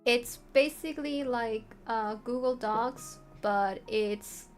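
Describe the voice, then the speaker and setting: A woman's voice: fairly high pitch, slow pace, faint music behind. A woman streamer walking viewers through a writing tool in an informal, meandering way. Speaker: streamer giving a tutorial. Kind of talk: casual livestream walkthrough of a writing tool.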